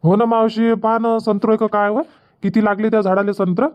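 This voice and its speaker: A man's voice: high-pitched, level tone, brisk, clean tone, close to an everyday voice. A man playing plain-spoken village orange farmers in a cartoon comedy, chatting colloquially with a Varhadi Marathi accent from Vidarbha. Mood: chatty. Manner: colloquial, repetitive rustic chatter. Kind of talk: dubbed cartoon comedy dialogue. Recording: dull lo-fi audio.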